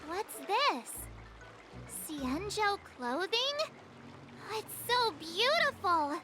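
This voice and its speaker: A woman's voice: extremely high pitch, very slow, music in the background, heavily acted. A woman voicing a bubbly, eager teenage girl apprentice in an anime game, cute and a little hesitant. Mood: delighted. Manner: cute, excitable, slightly hesitant.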